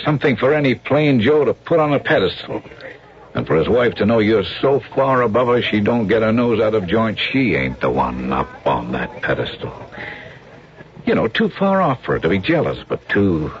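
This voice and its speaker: An actor playing a brash, slangy, persuasive American showbiz agent in a radio drama, with a cocky hard-sell manner. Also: male voice, lowish voice. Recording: dull, faintly noisy radio broadcast recording.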